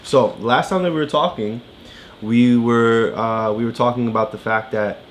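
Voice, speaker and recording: A woman's voice: very deep voice, moderate pace. A woman co-hosting a casual read-aloud for a child, explaining in an encouraging way with frequent check-in questions. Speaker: parent co-host of a read-aloud. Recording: faint background noise.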